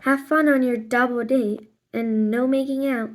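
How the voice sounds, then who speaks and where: An easygoing, watchful storybook father, acted out by a woman. The delivery is slow and matter-of-fact, with gentle teasing. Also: fairly high pitch, fairly even intonation, lightly acted. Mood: gently teasing.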